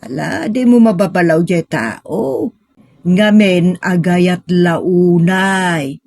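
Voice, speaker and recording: A woman's voice: lowish voice, slow pace, creaky, a little mellow, dry recording, heavily acted. A woman playing a patient, middle-aged mother, earnest and consoling, in a sentimental audio drama. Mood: mildly chiding.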